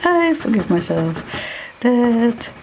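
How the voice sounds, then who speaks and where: A slow-speaking woman with a Dutch accent who thinks aloud while she draws. Her voice sits a little low and carries a gravelly fry. She talks haltingly, mutters to herself and stops to hunt for words, over a faint hiss of room noise. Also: sing-song. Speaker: artist live-streaming while drawing.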